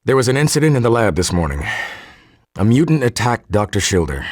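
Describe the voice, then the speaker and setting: An actor playing a formal, articulate male geneticist recording an audio log for a game, measured and husky. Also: mid-range voice, big pitch swings, no room sound, studio quality. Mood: grave, troubled. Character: earnest male geneticist turning cold and militant.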